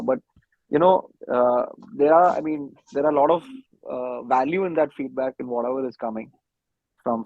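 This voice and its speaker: A man talking casually in an interview, halting as he thinks aloud. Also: mid-range voice, no room sound. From India. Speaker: band musician being interviewed. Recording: slightly dull, close dry sound.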